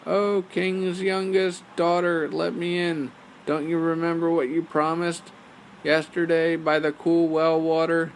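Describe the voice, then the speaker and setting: A man's voice: on the high side, flat delivery, unhurried, a little hiss or hum, voice pushed up, poor audio. A man voicing a talking storybook frog who pleads and bargains insistently for friendship. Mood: pleading.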